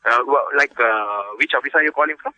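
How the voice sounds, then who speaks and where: A man's voice: medium pitch, brisk, sharp tone, no room sound. A man speaking as a customer on the phone, firm and insistent as he complains. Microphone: telephone. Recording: dull lo-fi phone line.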